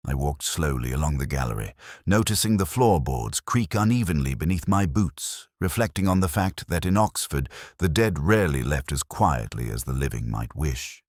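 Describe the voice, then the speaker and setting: An extremely deep voice, recorded close and dry, carries the inner account of a melancholy police inspector played by a man. The delivery is measured and literary but moves widely in pitch. It suits a contemplative mystery drama told in the first person. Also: animated, dry recording. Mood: grave, reflective. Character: brooding, observant male Oxford police inspector, literary and melancholy.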